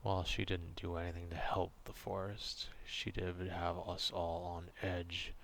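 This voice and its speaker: Man acting as gruff male forest beast, very low growl, unhurried pace, haughty, menacing, distrustful, ASMR roleplay. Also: little pitch movement, fairly bright tone, a little hiss or hum, heavily acted. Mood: grudging, stern.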